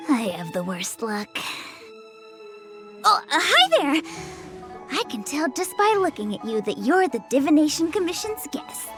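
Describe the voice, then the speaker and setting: High, breezy, playful young slacker. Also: female voice, unhurried, pauses often, over music. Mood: excitable, distracted. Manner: breezy, excitable, chatty. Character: playful, slacking young woman, cheeky game-loving diviner. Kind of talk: video game character acting.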